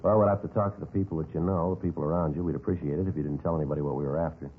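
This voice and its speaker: An actor playing a polite, businesslike police detective in a vintage radio drama, deadpan, clipped and courteous. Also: male voice, rumbling low, racing. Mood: probing, polite. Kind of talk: understated 1950s radio acting. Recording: tinny, noisy vintage radio recording.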